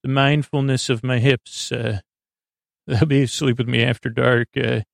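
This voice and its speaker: A man hosting a bedtime podcast, talking in a meandering, halting way with a gravelly voice and drifting into tangents. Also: medium pitch, steady tone, no room sound, clean studio sound. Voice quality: creaky, gravelly. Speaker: podcast host. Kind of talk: rambling bedtime podcast intro monologue.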